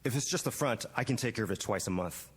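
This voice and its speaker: Husky, polite young American man in reverb. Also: on the low side, expressive, fast. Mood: polite, earnest. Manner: polite, tentative. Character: polite, hesitant young Japanese-American gardener. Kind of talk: radio drama acting.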